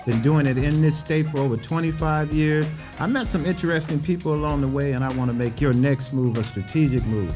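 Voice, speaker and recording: A man's voice: fairly high pitch, a little fast, few pauses, over music. A man hosting a podcast interview in a studio, easygoing and slangy. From Cleveland, Ohio. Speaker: podcast host. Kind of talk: casual interview chat.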